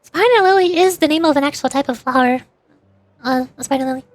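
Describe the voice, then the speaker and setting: A woman's voice: sits high, fast talker, no room sound. A woman livestreamer chatting casually over a game in a rambling, playful way. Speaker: livestreamer, VTuber. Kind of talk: casual chat while gaming, answering live chat.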